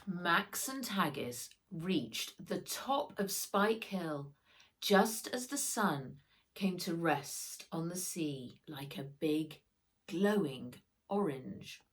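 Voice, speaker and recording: A woman reads a children's story aloud as its author. Her voice sits a little low and moves with very lively intonation. She takes her time, leaning on words with dramatic emphasis. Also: extremely slow. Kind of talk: expressive children's storybook narration.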